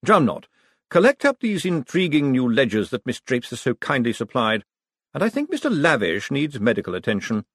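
Man acting a measured, commanding male ruler. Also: mid-range voice, huge pitch swings, a bit bright, no echo, exaggerated voice. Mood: cool, courteous. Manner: measured, deliberate, authoritative. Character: commanding, dryly witty male ruler, coolly in control.

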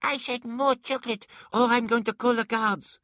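A man's voice: very high-pitched, fairly even intonation, moderate pace, bright, forceful tone, no room sound, clean studio sound, pitched above the performer's own voice. A man voicing a hyperactive little boy in an audiobook, blunt, bossy and demanding. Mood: demanding, bratty. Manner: bratty, bossy.

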